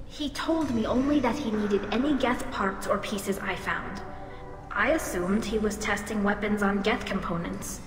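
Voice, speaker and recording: An actress playing a young, earnest alien engineer in a video game, speaking precisely and a little defensively. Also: female voice, medium pitch, measured pace, lightly acted. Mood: composed. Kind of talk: video game dialogue acting. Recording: echoey reverberant space, music behind.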